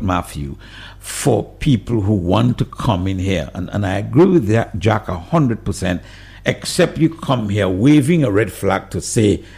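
A man hosting a call-in radio show, putting questions to his guest in a measured way and taking calls from listeners. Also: lowish voice, vocal fry, darkish tone, slightly rough. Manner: measured, questioning, steers the discussion. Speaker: radio talk show host.

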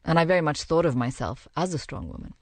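A woman who grew up in the Middle East, giving a reflective, measured personal account in an interview and pausing to find her words. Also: deep voice, animated, darkish tone, no room sound.

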